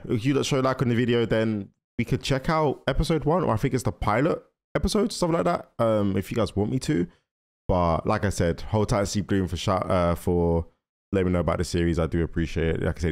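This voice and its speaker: A man in his twenties giving casual, rambling reaction commentary in slang, close on a desk microphone. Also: on the low side. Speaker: reaction video host. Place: small carpeted room indoors. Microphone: close desk microphone, headset on.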